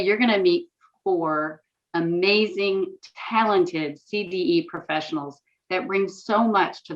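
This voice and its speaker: A middle-aged woman moderating a panel on a video call, friendly as she presents the guests. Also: lowish voice. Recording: dull, distant video call audio.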